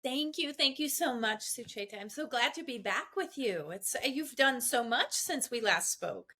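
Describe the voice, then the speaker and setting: A woman guest on an interview podcast, speaking in a warm, appreciative tone. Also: a little high, lively intonation, normal speed. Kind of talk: casual chat.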